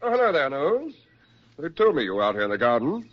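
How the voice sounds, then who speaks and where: A man acting a brisk, persuasive military psychiatrist with genteel, insistent delivery in a 1940s radio drama. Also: on the high side, wildly swooping pitch. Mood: hearty, coaxing. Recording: muffled 1940s radio recording.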